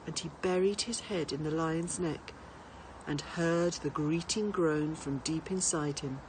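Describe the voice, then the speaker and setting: A woman reading a children's storybook aloud in an expressive narrating style. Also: low voice, measured pace, slight background noise, poor audio. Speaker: teacher reading to pupils.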